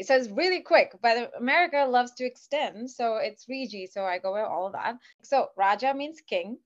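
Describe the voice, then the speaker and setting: A woman in her thirties from Kuwait, chatting lively as a podcast guest. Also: on the high side, muffled recording. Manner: lively, animated, quick asides. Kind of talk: casual interview chat.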